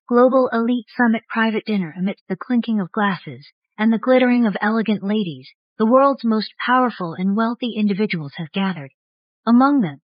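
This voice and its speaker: A woman's voice: medium pitch, no echo, highs cut off. A woman delivering scripted narration of a fantasy story.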